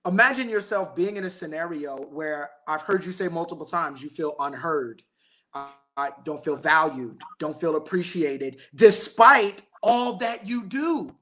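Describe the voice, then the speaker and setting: A man moderating a panel on a video call, engaged and quick with short affirmations. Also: on the high side, sharp tone. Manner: engaged, frequent brief affirmations.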